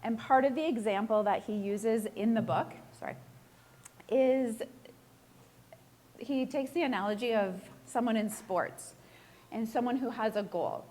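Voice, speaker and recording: Hoarse chatty woman giving a talk. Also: fairly high pitch, unhurried, pauses often, darkish tone. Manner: chatty and self-deprecating, asks the room questions. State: hoarse, borderline losing her voice. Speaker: real estate agent giving a talk. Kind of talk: casual live presentation to a room.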